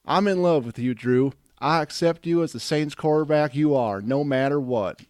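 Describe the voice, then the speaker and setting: A man's voice: a little high, moderate pace, darkish tone, dry recording, studio quality, natural voice. A man playing a lovestruck, sentimental romantic in a comic radio drama. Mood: adoring.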